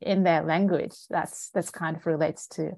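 A woman's voice: sits low, steady tone, normal speed, creaky, mellow, dry recording. A young adult woman, a native Azerbaijani speaker from Ukraine, speaking as an interview guest in casual conversation, hesitant with frequent fillers and restarts. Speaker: guest data scientist.

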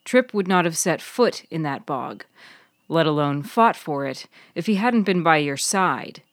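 A narrator woman plays a persuasive, smirking woman in a story. She advises in a low, measured, coaxing voice, recorded dry. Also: animated, no room sound.

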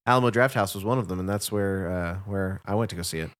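A man's voice: low voice, quick pace. A man co-hosting a casual movie podcast, rambling through his points with frequent restarts. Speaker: podcast co-host. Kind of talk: casual chat.

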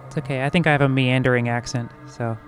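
A man's voice: medium pitch, steady tone, fast. A man talking casually and improvising as a player in a tabletop game, with music behind the voice. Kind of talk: casual in-character improvised chat.

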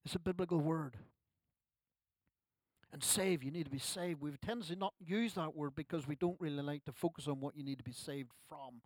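A man's voice: fairly high pitch, a little fast, no echo. A man, preaching a sermon at a church service in a conversational way with self-deprecating asides. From Ireland. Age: 60s. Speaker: pastor.

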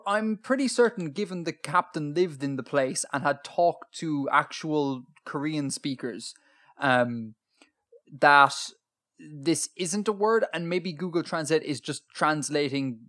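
A man's voice: fairly high pitch, a bit bright. A man co-hosting a podcast in casual chat, talkative and self-deprecating, with plenty of ums. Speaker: podcast co-host.

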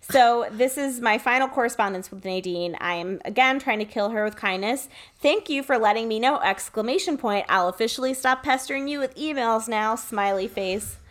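A woman podcast host talking casually in an animated, chatty way, like someone telling a friend a story and dramatising it. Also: on the high side, normal speed. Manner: animated, chatty, reading emails aloud dramatically.

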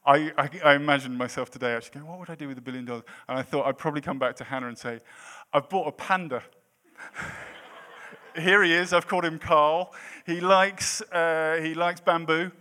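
A man's voice: on the high side, steady tone, fairly quick, bright, forceful tone. A man in his late thirties preaching a sermon in a church, with a self-deprecating, jokey manner between points. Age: 30s. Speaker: pastor.

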